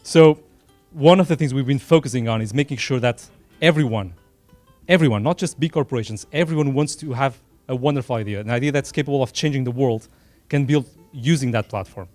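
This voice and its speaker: A middle-aged man with a Portuguese accent speaks from the heart at a dinner event, earnest and unscripted, slipping in modest asides. His voice sits at an ordinary pitch for a man. The sound is dry and close, with faint music in the background. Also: darkish tone, dry recording. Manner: earnest, unscripted, frequent self-deprecating asides. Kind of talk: informal speech at a dinner event. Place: small room at a dinner event.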